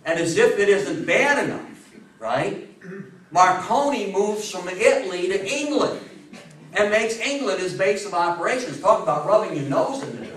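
A man in his fifties giving a history talk to an audience, emphatic and theatrical, with dramatic pauses. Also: high voice, animated, a bit bright. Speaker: lecturer. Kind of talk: animated public history talk to a live audience. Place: meeting room with a seated audience, indoors. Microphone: distant camera microphone. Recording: echoey room, recorded from far off.

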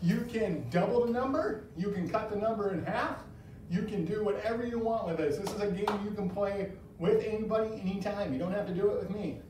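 A man's voice: high voice, brisk, a little mellow, recorded from a distance, slight background noise. A man in his thirties leading an adaptive fitness class, giving upbeat step-by-step cues and encouragement. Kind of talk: instructional exercise class. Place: large bare indoor room. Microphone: far camera. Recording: very echoey room, voice from afar.

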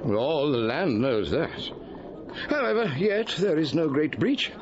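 An actor playing a sociable, gossipy gentleman eyewitness in a Shakespearean audio drama, with an animated, relishing delivery. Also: on the high side, takes it slowly. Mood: chatty, assured. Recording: dull audio with background noise.

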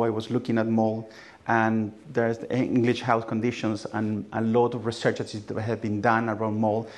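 A man's voice: fairly low pitch, a little mellow. Chilean-accented man giving a conference talk. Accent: Chilean Spanish. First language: Spanish. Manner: hesitant, many restarts and fillers. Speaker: academic speaker. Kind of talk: conference presentation. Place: large darkened conference hall.

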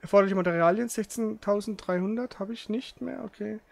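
A man's voice: high-pitched, brisk, no echo. A man doing casual gaming commentary, thinking aloud in a slangy, grumbling way. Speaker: let's player. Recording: clear background noise.

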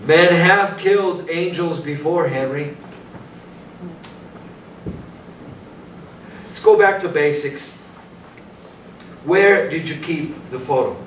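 A man's voice: fairly high pitch, a bit bright. A middle-aged man playing a stern, relentless police detective interrogating a suspect in a staged play reading. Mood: pressing. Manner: interrogating, menacing, deliberate. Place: small indoor venue, before a seated audience. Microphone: far camera. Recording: roomy distant stage recording with noise.

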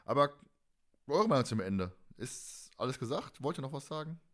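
A man co-hosting a podcast, recapping in a casual, animated, stop-and-start way and often turning to the others. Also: medium pitch, normal speed. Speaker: podcast host. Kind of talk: casual fan podcast discussion.

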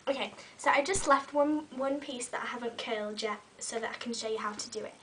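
A young woman with a cold talks casually as she curls her hair, her voice a little croaky and fairly high. She sits back from a webcam in a small bedroom, so she sounds a touch distant, with a faint hiss underneath. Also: fairly quick, a bit bright. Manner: chatty, talks while styling hair, many ums. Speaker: beauty vlogger. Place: small bedroom with fairy lights.

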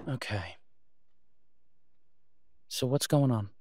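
A man's voice: medium pitch, animated, drawn-out words, a little mellow. A man playing a caring, easygoing boyfriend in an intimate audio roleplay, talking in a hesitant, reassuring, conversational way. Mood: concerned, soothing. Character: caring, easygoing human boyfriend of a werewolf woman.

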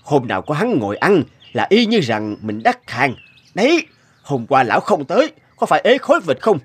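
An actor voicing a scheming, grumpy middle-aged duck seller with a scolding, exclamatory manner in an animated folk tale. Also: male voice, fairly high pitch, normal speed, husky, exaggerated voice.

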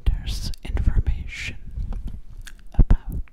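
Young female ASMR host, breathy close-mic whisper, extremely slow and soothing delivery. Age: young adult. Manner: slow, soothing, repeating words and mouth sounds. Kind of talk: ASMR personal-attention roleplay. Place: small room, indoors. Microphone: close-up microphone right at the mouth.

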